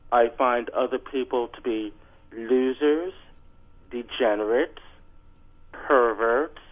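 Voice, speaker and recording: A man calling a radio show over a phone line, reading aloud and bantering in a halting, insistent, deadpan way. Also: medium pitch. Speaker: call-in guest on a radio show. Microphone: telephone. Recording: tinny, narrow phone line.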